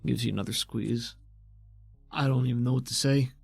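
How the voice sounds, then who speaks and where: A man's voice: mid-range voice, steady tone, moderate pace, gravelly, darkish tone, slightly rough, dry recording. A man improvising in-character dialogue and narration as game master of a tabletop role-playing show, with casual, folksy phrasing. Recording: music bed behind the voice.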